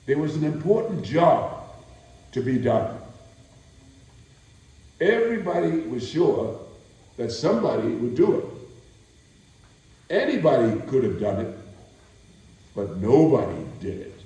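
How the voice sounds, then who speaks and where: An older man, a stage performer, telling stories and introductions live in a deliberate, theatrical way with pauses. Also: medium pitch, big pitch swings, dark tone. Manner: deliberate, theatrical, pausing between phrases. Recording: very echoey room, distant microphone.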